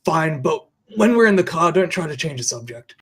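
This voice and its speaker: Comedy script read: panicky, indignant professor, played by a man, raspy voice, very animated and accusatory. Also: on the high side, moderate pace. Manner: exclamatory, accusing.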